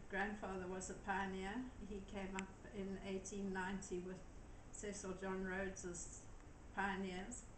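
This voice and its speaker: An older woman from Zimbabwe, a guest telling her story in a gentle, matter-of-fact way. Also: mid-range voice, monotone, measured pace, few pauses. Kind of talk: storytelling interview answers. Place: daughter's home. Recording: echoey room with noise.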